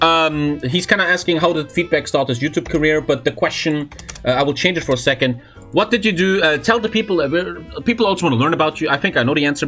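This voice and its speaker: A man in his twenties hosting a casual podcast, frank and animated, asking lots of questions. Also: fairly high pitch. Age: 20s. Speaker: podcast host and streamer.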